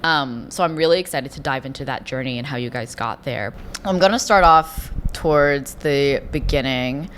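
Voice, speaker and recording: A woman's voice: a bass voice, normal speed, slight background noise. A young woman hosting a podcast, chatting casually with guests and laughing along with their stories. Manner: warm laughing, relatable. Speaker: podcast host. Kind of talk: casual interview chat.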